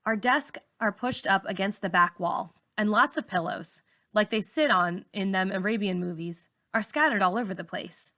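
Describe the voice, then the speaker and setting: A woman reading a novel aloud as a teacher would, in expressive first-person story narration. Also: medium pitch, moderate pace.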